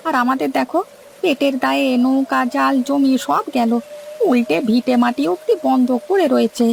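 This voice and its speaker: A woman playing a young, anxious village fisher hand in a cartoon, with plaintive, folksy rural speech and a creaky voice. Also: high-pitched, normal speed, darkish tone, a little hiss or hum. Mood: aggrieved. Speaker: cartoon voice actor. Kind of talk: dramatised Bengali cartoon story dialogue.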